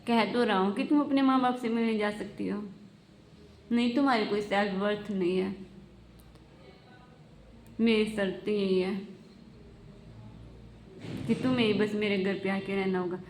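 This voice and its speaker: A woman with a mid-pitched voice recites a satirical spoken-word piece in the first person. Her delivery is deliberate, built on short declarative phrases. The recording is echoey, with the room clearly audible around her. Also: steady tone, slight background noise. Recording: roomy, echoing voice recording.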